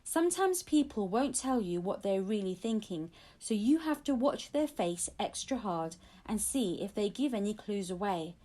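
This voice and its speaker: A woman's voice: medium pitch, animated, moderate pace, few pauses, mellow. A woman reading a children's audiobook aloud, telling the story expressively in the first person. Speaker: audiobook reader.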